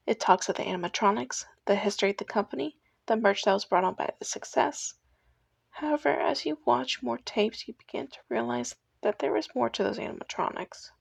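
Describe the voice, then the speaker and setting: A woman's voice: on the high side, creaky, husky, no room sound. A young adult woman narrating a scripted personal video essay.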